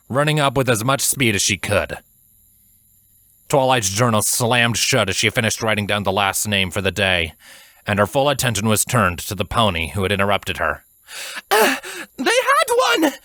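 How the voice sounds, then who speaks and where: A man reading a fantasy story as a narrator, in a measured, dramatic way. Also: on the low side, sharp tone, no room sound. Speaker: fan fiction narrator.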